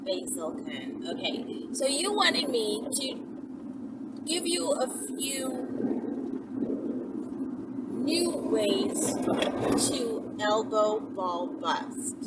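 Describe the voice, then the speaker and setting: A woman's voice: on the high side, big pitch swings, extremely slow, pauses often. A woman in her thirties chatting casually to camera in an emphatic way, repeating words for stress. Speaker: vlogger, channel host. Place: inside a moving car with windows down. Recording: very noisy car ride, distant mic.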